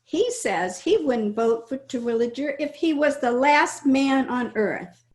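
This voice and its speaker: A woman's voice: high-pitched, animated, unhurried. Woman as a pert teenage niece, roomy sound. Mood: indignant. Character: earnest, opinionated teenage niece. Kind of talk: old-time radio comedy acting. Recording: roomy remote home recording.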